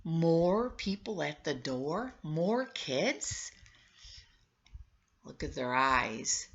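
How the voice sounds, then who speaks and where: Slow, expressive woman reading to children. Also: low voice, muffled recording. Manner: coaxing, exclaiming, conversational asides to children. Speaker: storybook reader for children. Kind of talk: read-aloud storytelling with questions to young listeners.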